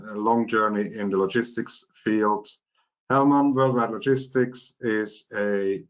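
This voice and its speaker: A middle-aged man with a Swiss accent, a non-native English speaker, talking carefully and haltingly as a finance executive guest on a business webinar. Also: fairly low pitch, muffled recording. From Switzerland. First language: not English. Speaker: chief financial officer, guest.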